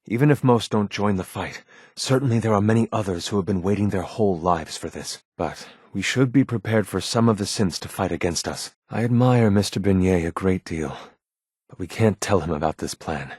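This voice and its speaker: An actor voicing a guarded, earnest male android rebel leader in a video game, with formal, measured, grave speech and a husky voice. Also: low voice, a little mellow, no room sound, studio quality. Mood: grave, determined. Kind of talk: scripted game character dialogue.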